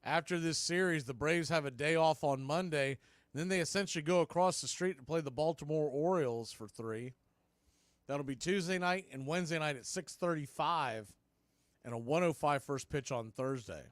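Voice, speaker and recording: Slightly high male voice, dry close sound, baseball play-by-play, clipped calls, conversational asides. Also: normal speed, no echo. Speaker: baseball radio play-by-play announcer. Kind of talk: live sports commentary.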